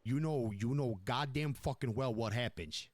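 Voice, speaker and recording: A man's voice: medium pitch, normal speed, a little mellow, dry recording, natural voice. A man playing a furious, domineering New York mob boss in an online game roleplay, browbeating and repeating himself with heavy profanity. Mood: lecturing, irate.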